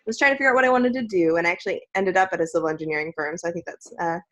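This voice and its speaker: A woman's voice: lowish voice, racing, a bit bright, muffled recording. A woman in her thirties raised near Portland, chatting casually with small laughs while introducing herself on a video call. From raised near Portland, Oregon. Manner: chatty, laughs between phrases. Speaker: coding program participant. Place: indoor room.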